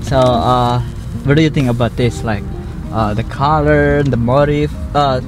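Indonesian guy in a camper van, halting english, lots of uh's, music playing behind. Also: mid-range voice, steady tone. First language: Javanese. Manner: hesitant, many restarts and fillers. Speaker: travel vlogger host. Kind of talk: casual chat in halting English. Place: inside a camper van at night.

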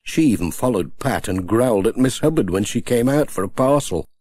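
A man reading a children's storybook aloud with gentle, expressive storytelling. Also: mid-range voice, brisk, breathy edge. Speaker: audiobook narrator.

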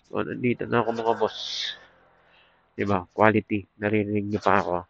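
A man's voice: fairly low pitch, fairly even intonation, a little fast, creaky. Filipino man vlogging through muffled helmet mic. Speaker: motorcycle vlogger reviewing gear. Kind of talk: casual product review vlog. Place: outdoors, riding a motorcycle. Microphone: Bluetooth helmet headset mic. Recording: muffled helmet intercom mic, road noise.

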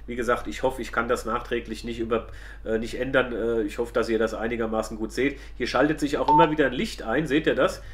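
Male product reviewer, mid-pitched voice, colloquial and chatty, walking viewers through a demonstration. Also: steady tone, fast talker.

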